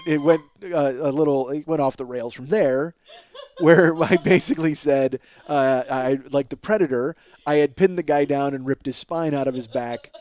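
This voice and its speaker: A man's voice: mid-range voice, a little mellow. A man in his forties hosting a comedy podcast, rambling through casual stories in a profane, self-deprecating, emphatic way. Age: 40s. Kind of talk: rambling comic monologue, casual storytelling.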